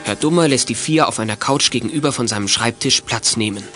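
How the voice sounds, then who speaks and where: A man's voice: mid-range voice, quick pace. Male storyteller over prominent music. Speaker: narrator. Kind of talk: scripted audio drama narration.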